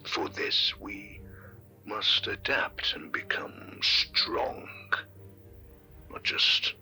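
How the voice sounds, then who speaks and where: A man acting a grave narrator in an audio drama, delivering a solemn monologue in a breathy half-whisper with deliberate, portentous phrasing. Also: on the low side, sharp tone, faint music behind, full character voice. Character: grave male narrator musing on evolution and change.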